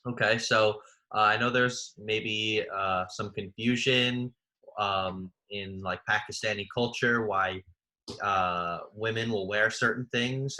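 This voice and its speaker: A young man hosting a podcast, asking curious, friendly questions with quick, filler-laden delivery. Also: lowish voice, pushed and bright, poor audio. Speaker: podcast host. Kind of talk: casual interview chat.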